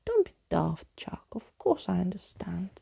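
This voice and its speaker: A woman playing plucky storybook girl, breathy, mellow, creaky edge, earnest, tender. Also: lowish voice, wildly swooping pitch, measured pace, exaggerated voice. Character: kind, plucky, imaginative young girl.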